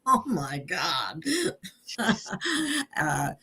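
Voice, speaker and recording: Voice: mid-range voice, sing-song, very slow, sharp tone, husky. A show host chatting casually, rambling and laughing often between remarks. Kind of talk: casual online chat.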